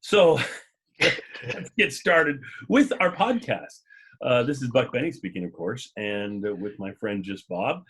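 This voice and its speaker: A man hosting a casual podcast, chatting in a rambling, jokey way with a husky voice. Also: mid-range voice, lively intonation, a little slow, a bit bright. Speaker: podcast host.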